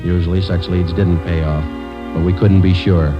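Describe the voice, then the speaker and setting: A man playing a terse, weary police sergeant in a deadpan, clipped 1940s radio drama style. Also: sits low, normal speed, close to an everyday voice. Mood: matter-of-fact. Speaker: actor, lead and narrator of police radio drama. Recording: dull vintage radio recording with music.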